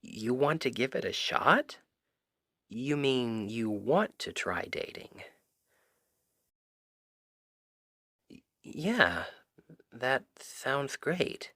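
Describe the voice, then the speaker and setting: A man acting a shy, earnest best friend in an intimate ASMR roleplay, speaking softly and haltingly. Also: mid-range voice, breathy edge, dry recording, studio quality, exaggerated voice. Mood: surprised, flustered. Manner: hesitant, halting, soft-spoken. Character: shy, earnest male Cupid best friend confessing love.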